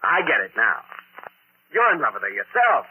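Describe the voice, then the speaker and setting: Husky, mocking cad in vintage radio. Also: male voice, medium pitch, huge pitch swings, moderate pace, full character voice. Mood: accusing, mocking. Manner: derisive, taunting. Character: handsome, famous man mockingly cruel to his friend. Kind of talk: 1940s radio acting. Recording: muffled 1940s radio, phone-like band.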